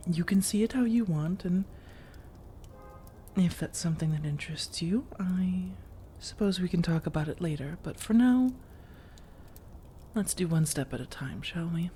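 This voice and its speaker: Casting: a woman to play a seductive vampire lady for ASMR audio roleplay, warm low-lit timbre, soft-spoken, slow teasing pauses, whispery edges. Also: lively intonation, slight background noise, close to an everyday voice. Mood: coy, teasing. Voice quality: soft, breathy delivery slipping into whispers. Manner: teasing, measured, intimate, with deliberate pauses. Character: flirtatious, coaxing female vampire seeking a roommate.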